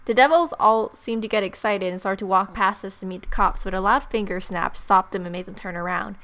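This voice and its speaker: A woman's voice: medium pitch, fairly quick, a bit bright, a little hiss or hum. A young woman hosting a let's-play, reading game narration aloud expressively and dropping in playful, casual reactions. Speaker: let's-play video host. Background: game music.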